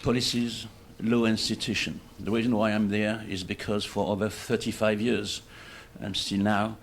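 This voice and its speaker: Older Frenchman, low voice, halting delivery. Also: flat delivery, a little hiss or hum. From France. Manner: halting, phrase by phrase. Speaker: conference speaker, organization official. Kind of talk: self-introduction speech at a conference. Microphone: livestream microphone in meeting room.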